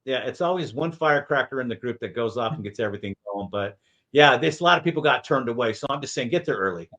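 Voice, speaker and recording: A man's voice: mid-range voice, expressive, quick pace, a bit bright, muffled recording. A man hosting a casual sports chat, with a folksy, emphatic way of talking. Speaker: sports show host.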